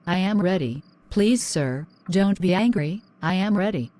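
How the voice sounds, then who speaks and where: A woman's voice: on the low side, animated, unhurried, dark tone. A young woman, acting a frightened student who pleads timidly. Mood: pleading. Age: young adult. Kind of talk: amateur drama acting.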